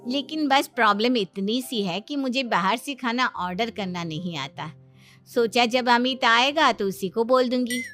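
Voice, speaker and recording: A woman's voice: fairly high pitch, lively intonation, measured pace, a bit bright, faint music behind. A woman playing a sociable, hospitable middle-aged aunt in a cartoon family drama. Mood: apologetic.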